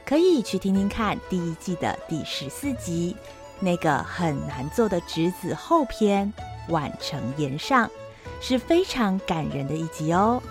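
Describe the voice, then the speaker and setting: A woman narrating a story in a gentle, expressive way. Her pitch travels widely and warmly across phrases. Clear instrumental music plays under her voice. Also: unhurried. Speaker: narrator and host.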